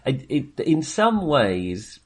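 A man's voice: mid-range voice, sing-song, takes it slowly, breathy edge. A man co-hosting a casual film review chat, speaking hesitantly and often restarting and correcting himself. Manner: hesitant, self-correcting, with frequent repeated starts. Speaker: film podcast co-host.

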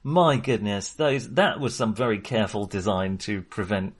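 A man's voice: on the low side, animated, normal speed, breathy edge. A man in an enthusiastic, jokey, digressive film discussion, talking casually as a co-host.

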